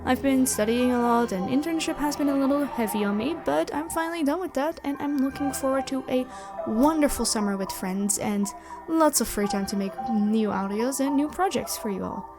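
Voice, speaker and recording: A young woman chatting to viewers in a question-and-answer video, with animated, expressive emphasis and drawn-out words. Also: sits high. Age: young adult. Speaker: ASMR audio roleplay creator and voice actor answering questions. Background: background music.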